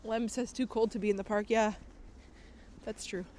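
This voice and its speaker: A woman's voice: mid-range voice, steady tone, moderate pace, warm tone, a little hiss or hum. A woman live-streaming outdoors at night, reading viewer comments in a chatty way, a bit nasal from a cold. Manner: chatty, laughs often. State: recovering from a cold, slightly nasal. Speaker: IRL streamer. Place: outdoors on city streets at night.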